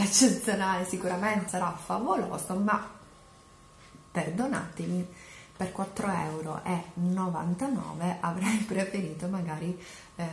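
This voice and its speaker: A woman in her thirties talks straight into a close camera in a small room at home, taking an unhurried pace and filling her pauses with ums and ehs. The room gives her voice a noticeable echo, and a light hiss of noise sits underneath. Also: fairly low pitch. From Palermo, Sicily. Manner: chatty and explanatory, with frequent fillers. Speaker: vlogger. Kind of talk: grocery haul chat to camera. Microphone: camera at close range.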